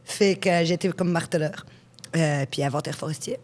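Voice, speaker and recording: Tell it like you're chatting with a friend: you're a woman in her forties, born in Switzerland, speaking from the lower end of your range and keeping the story frank and lively. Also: normal speed. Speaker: guest, hypnotherapist. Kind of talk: conversational interview.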